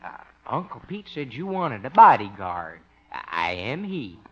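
An actor playing a tall, skinny young Texan who is bashful, polite and clumsy, husky and halting, in 1950s radio comedy. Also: male voice, medium pitch, big pitch swings. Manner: halting, slow, deferential. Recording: muffled old 1950s radio recording.

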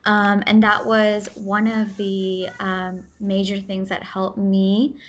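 A young woman in her twenties, born in the United States with Gujarati as her first language, answering interview questions in quick, casual speech with fillers and restarts. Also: medium pitch, completely flat delivery, highs cut off. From United States (Ohio). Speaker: guest.

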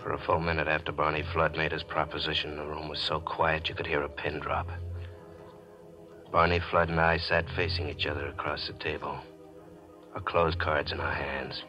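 A muffled old radio recording with prominent music carries a bitter small-time gambler. He is a low-voiced American man, tense and deliberate, narrating his own suspense tale in 1940s style. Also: monotone, bright, forceful tone. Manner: tense, dramatic, deliberate storytelling. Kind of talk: 1940s radio drama acting, first-person suspense narration. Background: dramatic music.

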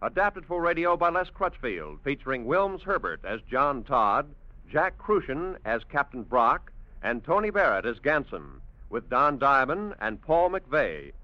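A man's voice: medium pitch, big pitch swings, a little slow, dry recording. A man with an American accent reading scripted credits as a 1950s radio announcer. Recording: muffled vintage radio broadcast. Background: music.

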